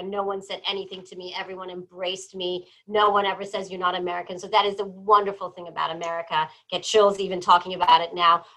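A woman from New Jersey, a guest in a casual interview, talking in an animated, emphatic way. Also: on the low side, low-quality recording. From New Jersey, born in Iran. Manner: animated, emphatic, lots of "you know".

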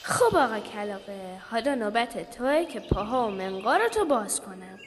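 A woman's voice: mid-range voice, lively intonation, soft background music, lightly acted. A woman playing a brave, cunning little girl in a children's radio play, bold and commanding. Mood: teasing. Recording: echoey reverberant hall.

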